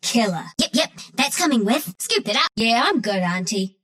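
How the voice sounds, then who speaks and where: A woman's voice: fairly high pitch, big pitch swings, moderate pace, fairly bright tone. A woman voicing a scrappy, mischievous young female forest critter in a video game, with a New Zealand accent and a cheeky, cocky, slangy way of talking. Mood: teasing, then chirpy. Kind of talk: video game character voice lines.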